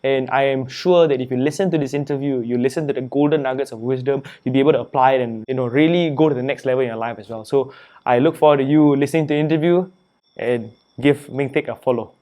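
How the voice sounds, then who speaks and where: A young adult man from Singapore, an author and entrepreneur speaking as a guest in a casual interview, relaxed and chatty, often saying "you know" and "man". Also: mid-range voice, fast.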